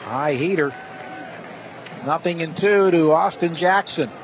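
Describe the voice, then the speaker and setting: A man's voice: on the high side, unhurried, dull audio. A man doing live radio play-by-play of a baseball game as the announcer. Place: stadium broadcast booth. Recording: steady stadium noise behind voice. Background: loud ballpark ambience.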